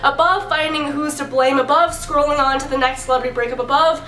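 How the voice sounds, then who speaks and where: A young American woman performing a slam poem, speaking earnestly with dramatic phrasing, deliberate pauses and repetition. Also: high voice, steady tone, pushed and bright, reverberant, faint music behind. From San Bernardino area, California, United States. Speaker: amateur spoken-word poet. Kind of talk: slam poetry recitation.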